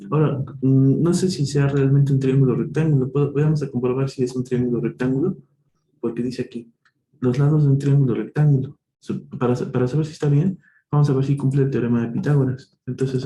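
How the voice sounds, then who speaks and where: A man's voice: mid-range voice, fairly even intonation, mellow. A man tutoring math live online, working through problems step by step, thinking aloud and correcting himself. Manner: thinks aloud, self-correcting, slow and patient. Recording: echoey room, faint noise.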